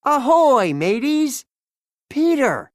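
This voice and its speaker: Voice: high-pitched, sing-song, drawn-out words, no echo, studio quality, pitched above the performer's own voice, exaggerated voice. A storybook narrator voicing a bold, cheerful boy hero in a rousing, exclamatory way. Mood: excited.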